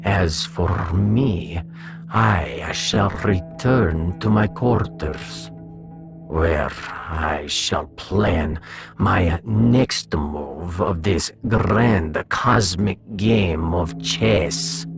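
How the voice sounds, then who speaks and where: A man's voice: deep voice, very slow, vocal fry, music in the background, voice pushed down, heavily acted. A man voicing a haughty, grandiose evil-genius talking cat with menacing delivery in a sci-fi audio drama. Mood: grandiose, smug.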